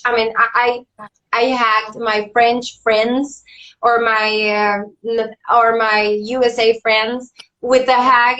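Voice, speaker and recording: A woman's voice: fairly high pitch, fairly bright tone, highs cut off. Bubbly young woman. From Bolivia. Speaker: pageant contestant. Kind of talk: casual video call chat.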